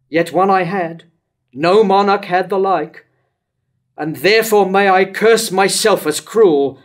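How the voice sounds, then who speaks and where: Grief-stricken tyrant king, read by an older man, high male voice, slow declamatory verse, remorseful, stage tragedy. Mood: anguished regret. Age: older, around 70. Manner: declamatory, measured. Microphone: lectern microphone.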